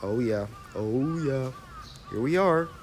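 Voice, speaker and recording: A man's voice: medium pitch, lively intonation, takes it slowly, slight background noise. A young man with an American accent, guiding a live-streamed walking tour in a casual, chatty way and reading viewer comments aloud outdoors in the early morning. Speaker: tour guide.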